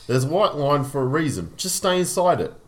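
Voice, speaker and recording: A man's voice: on the high side, very animated, brisk. An Australian man co-hosting a casual podcast chat in a blunt, laid-back manner. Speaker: co-host.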